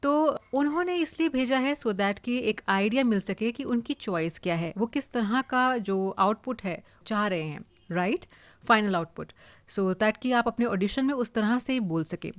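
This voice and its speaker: A woman's voice: a little high, lively intonation, a little slow, dry recording. Indian woman explaining, close dry sound. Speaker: voice-over artist giving a tutorial. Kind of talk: casual explanatory tutorial.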